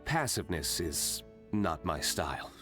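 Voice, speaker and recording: An actor playing a cool, self-assured young nobleman vigilante in an anime-style video game, composed, measured and reserved. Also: low-pitched, big pitch swings, fairly bright tone, exaggerated voice. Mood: resolute. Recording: background music under the voice.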